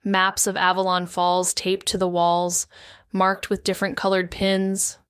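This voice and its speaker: A woman playing a guarded, world-weary amateur sleuth in a noir audio drama, wry and deliberate as she speaks. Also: medium pitch, completely flat delivery, smooth, no echo, clean studio sound, lightly acted. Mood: wry, brooding. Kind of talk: noir audio drama, first-person narration and dialogue.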